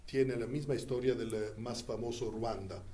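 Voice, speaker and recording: A man who learned Spanish as a second language recounts his years as a Church diplomat in an interview, speaking deliberately with frequent pauses. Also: medium pitch, steady tone, mellow, a little hiss or hum. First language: not Spanish.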